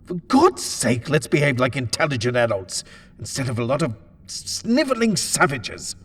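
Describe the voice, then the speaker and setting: A man's voice: medium pitch, huge pitch swings, normal speed, fairly bright tone, husky, in a large hall, voice pushed up, exaggerated voice. A man voicing an arrogant, short-tempered house-buyer in a storybook reading, imperious, scornful and exasperated. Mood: scornful shouting.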